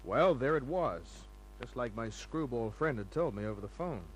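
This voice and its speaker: An actor playing a hard-boiled private detective, laconic, breezy and sardonic, in the manner of old-time radio drama. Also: male voice, medium pitch, lively intonation, moderate pace, soft background music, natural voice. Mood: dry narrating. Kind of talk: old-time radio acting and first-person narration. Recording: noisy humming old radio recording.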